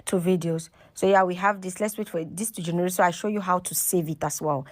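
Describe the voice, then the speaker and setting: A woman's voice: fairly low pitch, steady tone, fairly quick. A woman hosting a casual step-by-step tutorial, talking instructionally and often checking in with "okay" and "right". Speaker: tutorial host.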